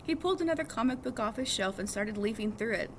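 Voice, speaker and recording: A woman reading a novel aloud as an expressive storytelling narrator. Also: on the high side, fast. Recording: clearly audible background noise.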